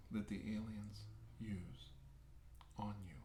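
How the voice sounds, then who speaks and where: A man playing a calm, reassuring doctor in a soft, often whispered ASMR medical roleplay, speaking in a soothing and deliberate way. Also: lowish voice. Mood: focused, hushed. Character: calm, reassuring male doctor examining an alien abductee. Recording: roomy, with faint background hiss.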